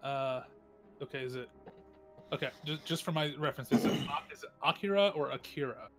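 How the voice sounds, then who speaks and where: A man with a medium-pitched voice plays a tabletop game. He describes his character casually and somewhat hesitantly, with faint music in the background. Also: a little fast. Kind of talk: casual roleplay chat. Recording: music behind the voice.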